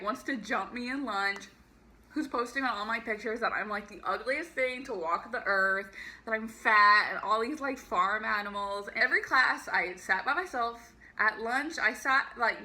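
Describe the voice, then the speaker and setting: A woman's voice: bright, forceful tone, slight background noise. A young woman telling a personal story to camera in a chatty, peppy way, laughing often and using lots of fillers. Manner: peppy raised voice over a lower natural one. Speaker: vlogger. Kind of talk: casual storytime monologue.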